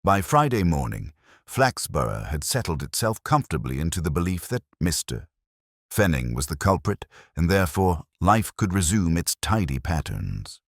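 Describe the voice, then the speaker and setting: A man with a very deep voice narrates a detective story from a script. His pitch moves in lively sweeps from that low base, and the sound is dry and close. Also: normal speed, vocal fry, dry recording. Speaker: audiobook narrator.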